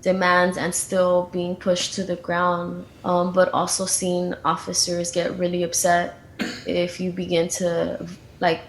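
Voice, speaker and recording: Flat-voiced young woman, echoey room. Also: fairly low pitch, unhurried. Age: 20s. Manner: flat delivery with many likes and ums. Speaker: youth justice activist. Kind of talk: interview testimony. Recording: roomy echo, faint noise.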